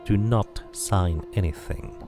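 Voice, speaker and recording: A man voicing a solemn male dabus tattooist who speaks in slow, cryptic pronouncements. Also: low-pitched, over music.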